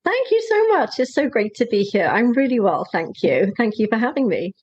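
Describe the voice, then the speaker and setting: A woman in her forties from Wales with a Welsh accent, chatting as a podcast guest in a relaxed, self-deprecating way with frequent fillers. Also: a little high, moderate pace, husky. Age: 40s. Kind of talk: conversational interview. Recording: slightly dull lo-fi dry sound.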